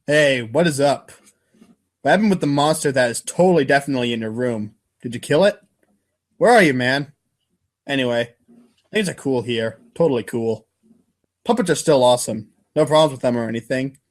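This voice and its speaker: A man's voice: mid-range voice, animated, normal speed, long pauses, close to an everyday voice. Man playing aloof, slangy teenage boy. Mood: feigned cool. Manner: casual, rambling, affectedly cool.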